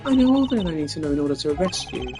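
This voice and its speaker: A man's voice: on the high side, sing-song, racing. A man doing a haughty female prosecutor. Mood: imperious. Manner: cold, cutting, imperious. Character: haughty young female prosecutor, stern and proud. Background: game music.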